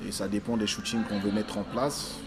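A man born in Africa, a stylist talking as an interview guest, halting and restarting his phrases as he searches for words. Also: fairly low pitch, fairly even intonation. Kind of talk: casual interview conversation.

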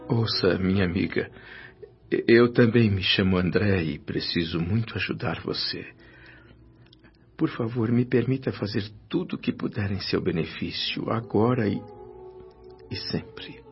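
A kind, emotional helper in a Brazilian radio drama. His lowish voice is gentle, halting and earnest. The sound is a little dull, with soft music underneath. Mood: gentle, concerned. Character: kind, remorseful male assistant, gentle and emotional.

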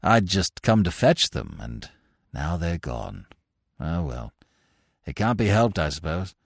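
A naive young upper-class English student, acted by a male narrator in a comic storybook. He sounds mild and apologetic, rambling hesitantly in slang at a lowish pitch. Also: very animated, slow, creaky, slightly rough, no echo, studio quality, heavily acted. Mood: apologetic, sad.

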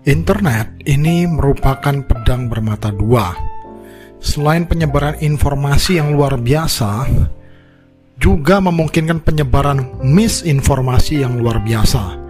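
An Indonesian man speaks in a slightly high-set voice with music behind him. He talks like a preacher giving practical advice, conversational yet instructive. He drives his points home by repeating key phrases. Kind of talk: informal sermon, direct advice to listeners.

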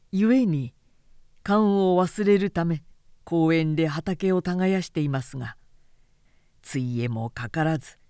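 A woman's voice: sits low, warm tone, dry recording, clean studio sound, pitched below the performer's own voice. A woman voicing a modest, guarded nobleman in an audiobook, speaking politely with mild composure. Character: modest, courteous, guarded nobleman in middle life.

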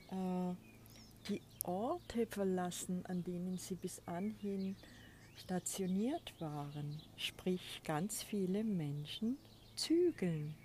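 A woman hosting a spiritual podcast, talking in a soft, breathy, reflective way from a balcony with birds behind her. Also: mid-range voice, slight background noise. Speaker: podcast host. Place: outdoors on a balcony. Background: birds and a crow.

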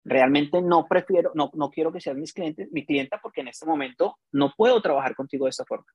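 A Colombian man with a slightly raised voice and a husky edge, talking as a business coach. He tells stories emphatically and acts out dialogue in a dry, close recording. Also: a little high, fairly quick, no echo, highs cut off. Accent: Colombian Spanish. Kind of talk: casual podcast chat.

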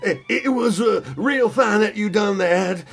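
A man playing a frantic, over-excited, nervously fawning character in a comic audio drama. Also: sits high, a little slow, slightly rough, heavily acted. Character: frantic toast-singing man, nervously fawning on a scary child.

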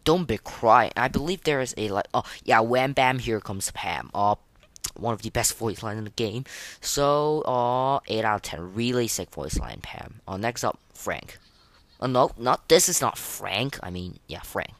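Halting casual game reviewer, dry sound. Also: medium pitch, expressive, a bit bright, no echo. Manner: hesitant, halting, rambling delivery; opinionated, slangy. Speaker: podcast host.

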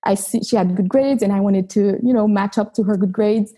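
A woman's voice: mid-range voice, fairly even intonation, a little fast, warm tone, breathy edge. A woman from Haiti whose first language is Haitian Creole, telling her story as a chiropractor guest in an earnest, reflective interview. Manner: earnest, reflective, with frequent restarts.

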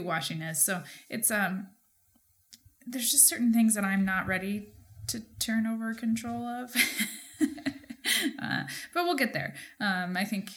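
A woman's voice: lowish voice, a bit bright. An American woman in her thirties speaking as a podcast co-host in casual conversation, informal and peppering her sentences with "like". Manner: informal, frequent "like" as filler. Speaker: podcast co-host and market gardener.

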